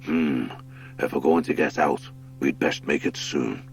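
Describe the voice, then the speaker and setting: A man plays an old badger lord from an animal adventure audiobook. He is gruff and very low in pitch, a steady commander who speaks with deliberate authority and weighs things as he talks. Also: faint music behind, full character voice. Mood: grave, musing. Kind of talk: audiobook character acting.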